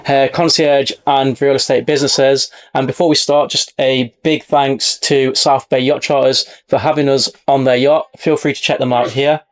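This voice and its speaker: A man hosting a podcast, leading a relaxed interview and often chiming in with a quick yeah. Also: mid-range voice. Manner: friendly, frequent "yeah" backchannels. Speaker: podcast host. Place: on board a yacht.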